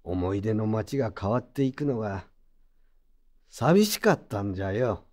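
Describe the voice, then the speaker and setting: An actor playing a gruff but kindly old craftsman over sixty in an audio drama, with old-fashioned, admonishing yet reassuring speech. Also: fairly low pitch, animated, normal speed, a little mellow, natural voice. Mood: gentle, wise. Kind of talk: Japanese audio drama acting.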